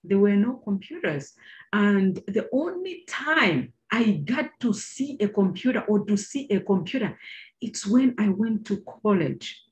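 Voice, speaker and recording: A woman's voice: mid-range voice, very animated, unhurried, a little mellow, dull audio. A middle-aged woman from Zambia with a Zambian accent, giving a chatty talk over slides to students on a video call, with many fillers and questions to her listeners. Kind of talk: informal presentation over slides.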